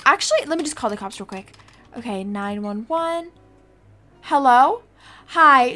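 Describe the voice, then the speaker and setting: Casting: woman as a worried, chatty adoptive mum in game roleplay; high-pitched, unhurried, thinks aloud over music. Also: fairly bright tone. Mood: anxious, resolute. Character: worried adoptive mother, chatty, casual, easily alarmed. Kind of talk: improvised video game roleplay.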